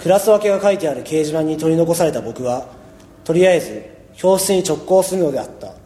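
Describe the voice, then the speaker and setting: A man playing a laid-back, dryly sarcastic high school boy who narrates and acts in an amateur radio drama. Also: lively intonation, fast talker, dark tone, natural voice. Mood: dry, matter-of-fact. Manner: dry, mildly sarcastic. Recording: echoey, long reverb.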